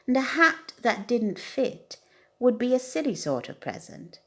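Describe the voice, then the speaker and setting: A woman's voice: a little high, big pitch swings, a little slow, pitched above the performer's own voice. A woman voicing an earnest, secretive male cat in a children's storybook, speaking expressively. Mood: pondering. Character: earnest, secretive young male cat, thoughtful gift-giver.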